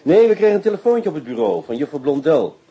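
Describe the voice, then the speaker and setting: A man playing a brisk, teasing police inspector who orders his men about in a Dutch radio play. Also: a little high, sing-song, muffled recording. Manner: wry, bossy, shifting from intimate murmur to barked orders.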